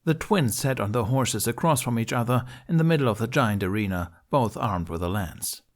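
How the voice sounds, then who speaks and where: A man's voice: medium pitch, animated, moderate pace. A man reading a scripted story aloud as its narrator. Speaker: amateur story reader.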